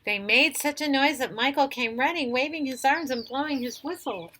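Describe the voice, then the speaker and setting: A woman reading a children's picture book aloud in an expressive way, with little asides to her listeners. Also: sits high, normal speed. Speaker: storybook reader. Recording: noisy recording with chirping birdsong.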